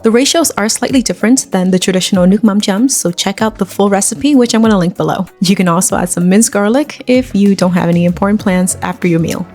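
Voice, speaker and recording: A woman's voice: mid-range voice, quick pace, hardly any pauses, darkish tone. A woman hosting a cooking video, walking through a recipe in a chatty, conversational way with personal asides. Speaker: cooking channel host. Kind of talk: cooking tutorial with personal chat. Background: faint background music.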